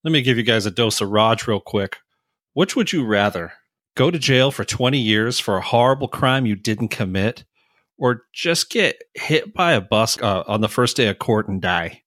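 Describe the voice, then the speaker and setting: A man's voice: fairly low pitch, expressive, moderate pace, a bit bright, no room sound, studio quality. A man co-hosting a podcast, chatting casually about a television series. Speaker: podcast co-host.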